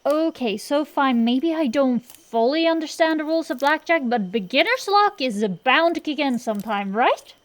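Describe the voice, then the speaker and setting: A woman's voice: high voice, animated. A woman playing a cocky, defensive tomboy dragon-girl sorceress in a comedic ASMR roleplay, blustering and bantering through her excuses. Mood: evasive, sheepish. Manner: blustering, bantering, hesitant excuses full of drawn-out pauses. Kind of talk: ASMR roleplay, one-sided comedic dialogue.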